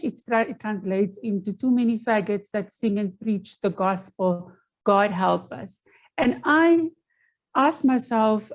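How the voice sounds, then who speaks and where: A woman's voice: medium pitch, a little mellow, low-quality recording. Earnest South African woman presenting online. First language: Afrikaans. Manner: earnest, measured, with frequent um fillers and restarts. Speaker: panel presenter. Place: home. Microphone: video call from home.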